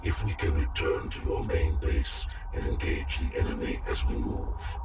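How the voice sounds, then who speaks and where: An actor playing a cold, calculating artificial intelligence in a game cutscene, speaking in a measured, clinical way. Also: male voice, natural voice. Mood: cold, analytical. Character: cold calculating male military computer AI. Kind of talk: video game cutscene acting. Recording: loud music behind, distant sound. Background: loud music.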